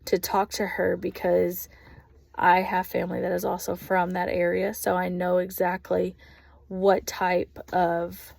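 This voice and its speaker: A woman in her thirties with a Texas accent, an ESL aide giving a thoughtful spoken reflection with frequent pauses and ums. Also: on the low side, completely flat delivery, creaky, darkish tone, no echo. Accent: Texan. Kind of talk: spoken reflection on a course video.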